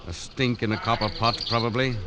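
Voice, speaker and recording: A man's voice: medium pitch, flat delivery, a little fast, lightly acted. A man playing a wary, seasoned colonial bush hand in an old radio drama, grim and clipped in manner. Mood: grim foreboding. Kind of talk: 1940s radio acting. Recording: noisy vintage radio recording.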